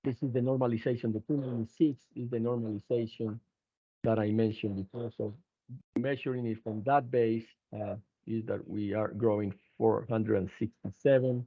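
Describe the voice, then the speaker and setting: Low-voiced man on a tinny phone line. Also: fairly even intonation, breathy edge. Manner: halting, hesitant delivery with repeated restarts. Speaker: company executive presenting financial results. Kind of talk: earnings call presentation. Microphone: telephone conference line. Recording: narrow, tinny phone-line conference call.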